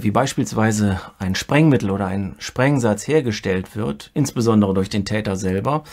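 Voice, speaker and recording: Male expert interviewee, gravelly and husky, analytical and precise. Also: medium pitch, darkish tone. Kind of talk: explanatory interview answer.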